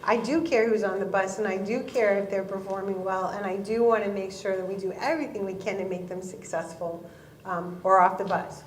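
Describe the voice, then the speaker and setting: A woman speaking as a panel guest and talent leader, chatty and quick to laugh at herself. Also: medium pitch, steady tone, far from the mic, slight background noise. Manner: chatty, laughing, self-deprecating. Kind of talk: live panel talk. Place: event hall with audience. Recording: echoey hall.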